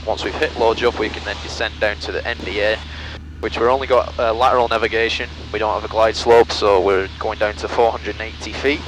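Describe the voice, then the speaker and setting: A low-voiced man talks as a pilot briefing an approach. His phrasing is methodical and clipped, in cockpit procedure style. He is on a headset intercom with a steady, clearly audible aircraft drone behind him. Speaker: pilot flying a small plane. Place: cockpit of a small plane in flight. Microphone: aviation headset. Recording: loud aircraft cabin noise through headset intercom.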